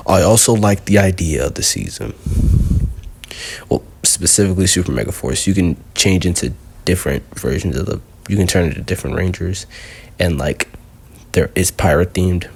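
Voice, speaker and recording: A man talks through a casual countdown in a deep voice with a rough, husky edge. He rambles, loses his thread and keeps leaning on fillers. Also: darkish tone. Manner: rambling, heavy on fillers like "you know". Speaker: video host.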